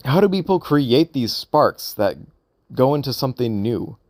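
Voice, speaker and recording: A man's voice: mid-range voice, very animated, a little mellow, dry recording. A man thinking aloud in a casual, unscripted vlog monologue, hesitant and rambling as he works through his thoughts. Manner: hesitant, halting, rambling with repeated questions. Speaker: vlogger recording an audio log.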